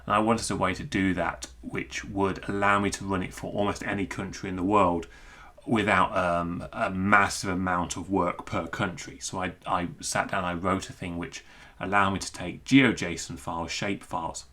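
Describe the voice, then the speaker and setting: A man's voice: low voice, flat delivery, moderate pace, bright, forceful tone. A British man giving an informal, unscripted technical explanation, conversational and digressive as he thinks aloud. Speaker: amateur radio hobbyist and programmer presenting a talk.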